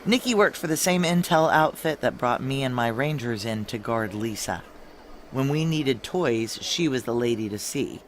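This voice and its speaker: A woman's voice: very deep voice, lively intonation, moderate pace. Blunt, tough ex-soldier woman, noisy backdrop. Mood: wry, tense. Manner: blunt, terse, hard-boiled. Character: tough, guarded female ex-Army Ranger leader plotting a heist. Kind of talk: noir audio drama, first-person narration and dialogue.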